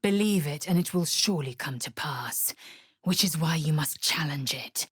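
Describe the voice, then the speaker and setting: A woman voicing a fierce, proud githyanki warrior woman in a video game, with a husky voice and clipped, imperious, formal speech. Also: low-pitched, lively intonation, moderate pace, dry recording, clean studio sound, full character voice. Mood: solemn resolve. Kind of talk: video game character voice acting.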